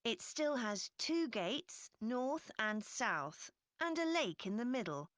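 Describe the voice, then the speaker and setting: A woman's voice: a little high, big pitch swings, slow, a bit bright, no room sound, exaggerated voice. Female council chair, scripted public briefing, very varied intonation, close dry sound. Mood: informative. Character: brisk, upbeat female council committee chair giving briefing. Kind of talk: scripted public address for a listening test.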